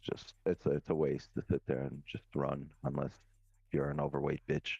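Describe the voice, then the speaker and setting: Cocky male co-host, extremely low voice, dry dull lo-fi sound, lots of swearing. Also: little pitch movement, moderate pace, creaky, a little mellow, husky, no room sound. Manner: brash, cocky, heavy swearing. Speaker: co-host on a live trading stream. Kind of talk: casual banter.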